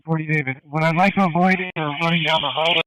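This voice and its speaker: A man working as a tactical police officer, speaking in measured, procedural phrases over police radio. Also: a little high, fairly even intonation, background noise.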